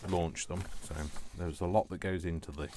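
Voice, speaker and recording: A man's voice: deep voice, steady tone, normal speed, darkish tone, slight background noise. A man giving a casual, chatty craft demonstration, thinking aloud and playfully muttering short repeated phrases to himself as he works. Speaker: craft tutorial host.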